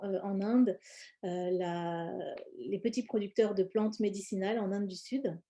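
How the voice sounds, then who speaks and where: A woman presents in an informal, explanatory way. Her voice is soft-edged and mellow at a medium pitch. She often hesitates and pauses partway through her phrases. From born in India, grew up in France. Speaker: presenter, cosmetics brand founder.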